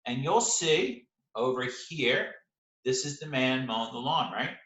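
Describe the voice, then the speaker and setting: A man's voice: medium pitch, lively intonation, a little slow, a bit bright. A man giving an informal explanatory literature lecture, stressing key points by repeating them and checking in with short questions. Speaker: literature teacher presenting a video lesson. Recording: roomy, echoing room sound.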